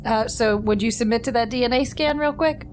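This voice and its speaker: Woman playing a hesitant, high-voiced starship doctor. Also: fairly quick, background noise, close to an everyday voice. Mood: awkward, apologetic. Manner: hesitant, apologetic, polite. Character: polite woman starship doctor, awkwardly questioning.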